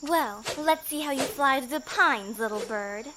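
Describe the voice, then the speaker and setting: A woman's voice: high-pitched, measured pace, noisy background. A woman playing a haughty, dangerous female bandit in an audio drama, taunting and theatrical. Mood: cool, wary.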